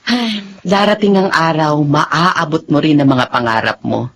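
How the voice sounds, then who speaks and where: A woman's voice: deep voice, a little slow, fairly bright tone, slight background noise. A woman playing a caring, encouraging college dean in a Filipino radio drama, speaking with a Filipino accent in a motherly way.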